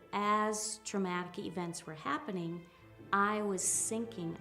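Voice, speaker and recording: A woman in her fifties speaks with a low, gravelly voice. She talks hesitantly and softly, pausing and restarting as she reflects on her own story. Faint music plays underneath. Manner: hesitant and soft, with pauses and restarts. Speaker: interviewee, spiritual practitioner. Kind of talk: reflective personal account.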